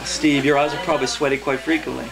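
A man's voice: a little high, little pitch movement, fast, vocal fry, loud music behind the voice. A young man, a poet, talking casually and jokingly to listeners between readings. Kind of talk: casual introductions between poems. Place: indoor cafe reading before a small audience.

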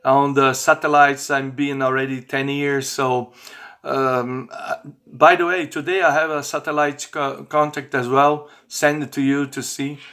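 Guy in his 50s doing a casual video message, non-native english, halting and repeats himself, medium pitch. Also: level tone, a bit bright. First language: not English. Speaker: amateur radio operator and vlogger. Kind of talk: informal video message to camera. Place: small bedroom, indoors.

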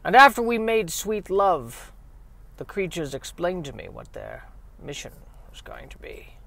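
A man acts out an old mill owner in a spoof fifties sci-fi radio play. He is eccentric and cryptic. He draws out his story in slow, halting, dramatic phrases. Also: high-pitched, wildly swooping pitch, gravelly, pushed and bright, voice pushed up, heavily acted. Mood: mysterious, grandiose.

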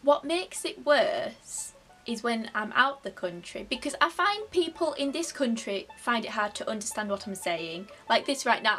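A woman's voice: a little high, a bit bright. Chatty Yorkshire woman vlogging. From Yorkshire, England. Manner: chatty, self-mocking, playful. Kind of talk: casual comic chat to camera. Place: bedroom, indoors.

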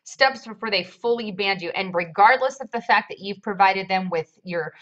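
Bright-voiced woman host. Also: mid-range voice, muffled recording. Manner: leading questions, brisk. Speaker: show host, interviewer. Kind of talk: online news interview.

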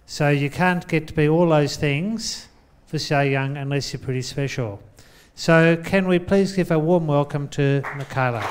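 A man's voice: on the high side, unhurried, clean tone, some chatter behind. A man hosting an event, upbeat as he introduces the next speaker on a handheld mic in a lecture hall. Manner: upbeat, announcing. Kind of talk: stage introduction.

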